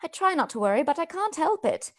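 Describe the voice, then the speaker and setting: An anxious young Victorian woman played by an actress, high-voiced and very expressive. She is earnest and solicitous, in the manner of radio drama. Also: fast. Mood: worried, caring. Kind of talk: radio play acting.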